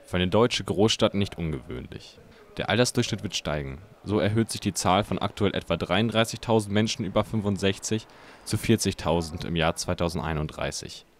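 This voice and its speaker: A man's voice: low-pitched, a little fast, slight background noise. A man reading scripted narration as a news reporter.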